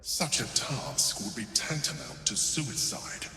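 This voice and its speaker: An actor playing a grave, disapproving adviser in a fantasy game, speaking solemnly and formally. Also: male voice, medium pitch, slow, vocal fry, heavily acted. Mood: grave warning. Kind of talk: video game character acting. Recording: very echoey, reverberant, loud music behind. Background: music.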